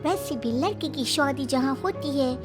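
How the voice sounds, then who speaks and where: A woman's voice: sits high, lively intonation, normal speed, music in the background. A woman voicing an earnest, devoted young daughter-in-law in a cartoon story, melodramatic in manner. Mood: sweet, cheerful.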